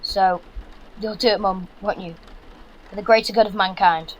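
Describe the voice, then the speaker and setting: A man's voice: high-pitched, fairly even intonation, normal speed, slight background noise, lightly acted, poor audio. A young man playing a practical, bossy British son in an audio drama, speaking in an earnest, instructive way. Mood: grave, hesitant. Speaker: actor.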